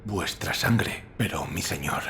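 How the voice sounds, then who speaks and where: Game dub: grave warrior servant, very low gravelly voice, few words, deferential. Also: male voice, lively intonation, measured pace, bright, forceful tone, slight background noise, heavily acted. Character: stoic, loyal shinobi retainer, man of few words. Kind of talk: dubbed video game cutscene acting.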